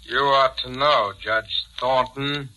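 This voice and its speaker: A man playing a menacing, taunting ghost in 1940s radio horror, with slow, drawn-out, mocking delivery on an old broadcast recording. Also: medium pitch, steady tone, a little hiss or hum, poor audio. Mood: mocking menace. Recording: sharp vintage radio recording.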